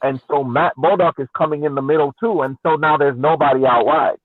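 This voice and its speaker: A man's voice: fairly high pitch, steady tone, a little fast, no room sound. A man on a podcast panel casually arguing through a soccer game, posing questions and acting out reactions. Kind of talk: casual post-game sports discussion. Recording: thin phone-like radio sound.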